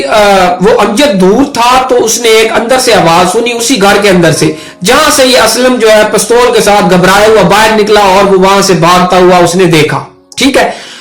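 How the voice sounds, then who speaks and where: A man with a Pakistani accent teaches in an informal, conversational way, walking through examples and checking in as he goes. His voice sits well above the usual male pitch. It rings with a clear room echo, with music behind it. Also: a bit bright. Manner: conversational, repeatedly checking in with a filler tag. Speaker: law lecturer and video host. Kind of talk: informal explanatory lecture with worked examples. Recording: roomy echo with background music.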